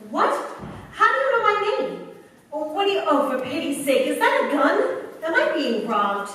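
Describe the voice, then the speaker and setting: A woman's voice: extremely high pitch, sing-song, normal speed, sharp tone, full character voice. A woman acting a tired, tough factory girl in a 1940s-style radio play, wry and slangy, with an American accent. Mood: wry defiance. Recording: very echoey, distant mic, faint noise.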